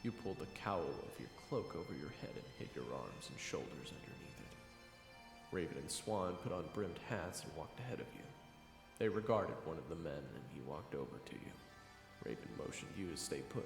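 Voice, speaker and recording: An extremely deep-voiced man narrates a dark fantasy tale with ominous drama. His voice creaks with gravel and rings with long reverb. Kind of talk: second-person scripted fantasy story narration. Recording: echoey, reverberant, music underneath.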